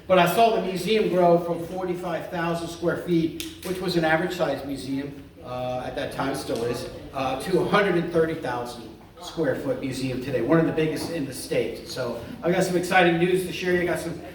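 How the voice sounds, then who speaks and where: A man's voice: a little high, moderate pace, crowd noise. A middle-aged man from Florida, a museum curator giving a chatty public lecture full of jokes, fillers and restarts. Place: large hall, voice picked up from far off. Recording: very echoey hall from a distant mic.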